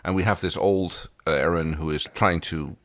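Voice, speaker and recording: A man with a low voice gives casual commentary as a podcast co-host. His manner is wry and understated, and the sound is dry with very little room to it. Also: fairly even intonation, normal speed, fairly bright tone, no room sound. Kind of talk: casual episode recap and commentary.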